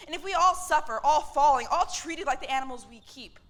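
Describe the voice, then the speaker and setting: A woman's voice: sits high, brisk. A woman playing a fiery factory worker in a staged reading, rallying others to revolt with insistent oratory. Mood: rousing. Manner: oratorical, insistent, building rhetorical questions.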